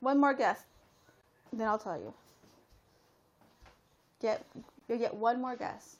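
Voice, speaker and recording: Chatty woman live-streaming, slightly high voice. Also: expressive, takes it slowly, lo-fi sound. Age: 30s. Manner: rambling, talks straight to viewers with many fillers. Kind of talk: casual live-stream chat. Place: at home.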